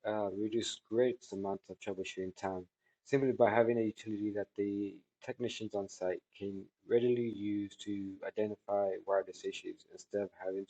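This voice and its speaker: A man in his thirties giving a spoken product testimonial in a halting, measured way, with drawn-out words. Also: fairly low pitch, mellow, poor audio. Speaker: technology product reviewer. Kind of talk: spoken testimonial answering questions. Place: small indoor office room by a large window.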